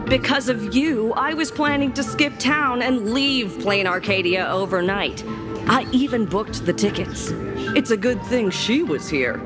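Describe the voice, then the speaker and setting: An actress playing a sardonic, exasperated sham doctor who talks like a dramatic storyteller in a video game. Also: female voice, medium pitch. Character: wry, exasperated woman posing as a hospital doctor.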